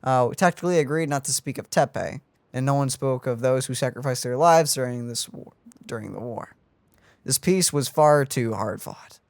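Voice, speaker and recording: A man's voice: medium pitch, normal speed, no echo. A young man streaming a video game, reading on-screen text aloud and commenting casually, with game music behind him. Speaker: gaming streamer.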